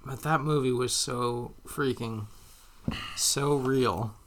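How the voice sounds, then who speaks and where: A man co-hosting a podcast in casual chat, teasing with quick quips and frequent laughs. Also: mid-range voice, a bit bright. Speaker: podcast co-host.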